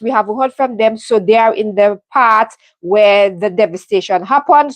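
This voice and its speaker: A woman's voice: medium pitch, no room sound. Earnest Caribbean woman on a live stream. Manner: earnest, heartfelt, many ums and restarts. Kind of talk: casual live stream update. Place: home in London.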